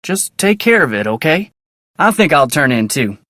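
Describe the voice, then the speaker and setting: An actor playing a polite, earnest young ranch hand in a video game, with a folksy American Western drawl and a plainspoken way of talking. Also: male voice, on the high side, very animated, moderate pace, bright, forceful tone, no echo, clean studio sound. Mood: plainspoken, courteous. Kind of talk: video game character dialogue.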